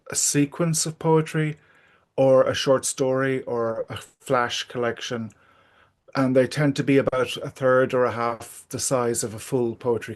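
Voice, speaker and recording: A middle-aged or older man, talking casually and reflectively as an interview guest, pausing often to think aloud. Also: medium pitch.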